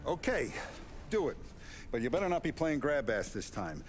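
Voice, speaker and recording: A commanding mob boss, voiced by a man in a crime game, with measured, decisive speech. His mid-pitched voice moves lively through its range. Music plays clearly underneath. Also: lightly acted. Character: calm authoritative older male mob boss. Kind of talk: 1930s gangster game dialogue.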